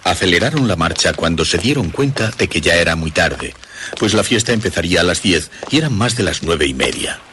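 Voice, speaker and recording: A man narrating a scripted horror story for radio in a measured, dramatic storytelling manner. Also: low voice, animated, noisy background.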